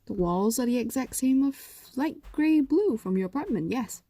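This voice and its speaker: A woman voicing a possessive, doting female fox demon in an intimate roleplay audio drama, coaxing and teasing in a soft, breathy voice that drifts into whispers. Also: on the high side, expressive, normal speed, no echo. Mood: doting, gentle.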